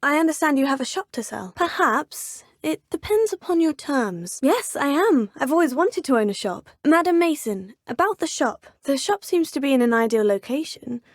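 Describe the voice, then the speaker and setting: An actress voicing a polite, curious young female witch student in a video game, speaking in a crisp, well-spoken way. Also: high-pitched, very animated, normal speed, few pauses, no echo, studio quality. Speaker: player character. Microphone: close studio mic.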